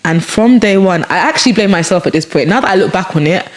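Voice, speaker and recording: Fast-talking deep-voiced woman. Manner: fast, lively, expressive, jokey. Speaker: podcast guest, recent bride. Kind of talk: casual chat, animated storytelling.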